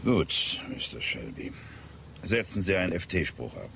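An actor playing a terse, authoritative ship's captain giving curt, measured orders in a German radio drama. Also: male voice, low-pitched, sing-song, gravelly, fairly bright tone, a little hiss or hum, full character voice. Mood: businesslike.

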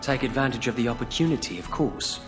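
A man's voice: mid-range voice, fast talker, close to an everyday voice. Man playing a proud young lord, echoing hall. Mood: composed. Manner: formal, composed, impassioned about his country. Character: proud, idealistic young lord yearning for independence. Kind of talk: video game cutscene acting. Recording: hall reverb, music behind.

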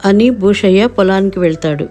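A woman narrates a children's moral tale in a mellow, mid-pitched voice. The delivery is scripted storytelling, with light music playing underneath. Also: normal speed.

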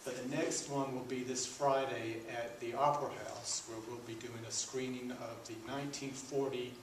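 A man's voice: mid-range voice, flat delivery, moderate pace. A middle-aged man hosting an event, giving introductions to a live audience in a meeting room. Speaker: event host introducing panelists. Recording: echoey room from far off, clear noise.

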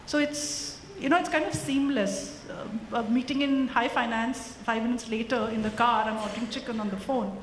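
A woman's voice: a little high, moderate pace, few pauses. A woman speaking as a panelist on a conference stage, reflective and reassuring, into a handheld mic. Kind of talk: panel discussion. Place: conference stage before an audience. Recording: echoey hall with faint noise.

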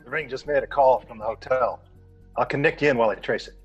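Guy playing curt gov agent, raspy voice, all business, music under it. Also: mid-range voice, fast talker, muffled recording, natural voice. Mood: brisk, focused. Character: curt, businesslike male government spy agent. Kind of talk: table read acting.

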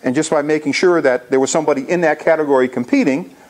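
A man in his sixties with a gravelly voice, giving an informal slide presentation to a club. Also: a little high, quick pace, a little mellow. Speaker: amateur radio club presenter. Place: indoor lecture room.

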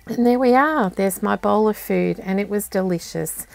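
A woman in her fifties with an Australian accent, hosting a home cooking tutorial and walking through each step in a relaxed, homely way. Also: medium pitch, creaky, soft background music, poor audio. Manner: relaxed, homely and unhurried, plain step-by-step instructions. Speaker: cooking channel host. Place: indoors at home.

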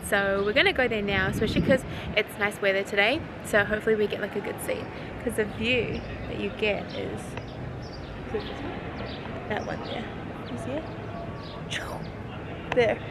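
A woman's voice: medium pitch, background noise. A bubbly, giggly young woman, chatting casually to a handheld camera while out in the city. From New Zealand. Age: young adult. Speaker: vlogger. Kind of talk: casual vlog chat. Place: outdoors in a city and inside a car. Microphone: handheld camera at arm's length. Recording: music playing under the voice.

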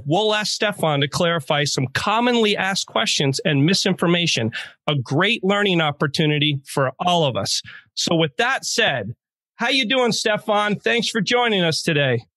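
A middle-aged man hosts with a chatty, upbeat manner, rattling off questions. His voice sits high and sounds bright. He is close to a studio mic in a dry room. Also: no echo. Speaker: podcast host. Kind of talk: casual interview hosting.

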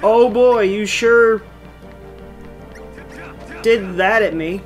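A man's voice: high voice, drawn-out words, highs cut off. A man giving casual, playful commentary while he plays a video game. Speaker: gamer commentating on playthrough. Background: game music.

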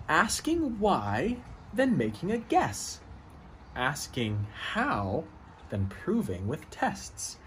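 An outdoor recording with faint ambient noise carries the voice of a man in his thirties. His pitch sits a little high and moves up and down in a lively way. He reads a picture book for young children with expressive, encouraging delivery. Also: poor audio. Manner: expressive, encouraging, exclaims and gasps between pages. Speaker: children's book reader, host. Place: outdoor porch of a house.

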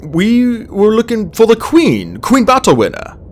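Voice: medium pitch, huge pitch swings, very slow, gravelly, pushed and bright, a little hiss or hum, pitched above the performer's own voice, exaggerated voice. A narrator voicing a nervous, bookish young male dragon in an audiobook, urgent and easily flustered. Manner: stammering, incredulous, urgent.

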